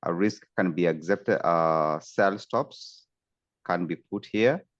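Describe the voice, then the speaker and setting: A man's voice: deep voice, slow pace, muffled recording. A man from Kenya, a trading coach, steadily explaining charts in a screen-share tutorial over a video call.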